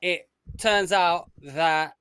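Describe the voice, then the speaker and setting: A man's voice: sits high, steady tone, extremely slow, pushed and bright, slight background noise. A man co-hosting a podcast over a video call, eagerly quizzing the others. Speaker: podcast co-host. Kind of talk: casual chat.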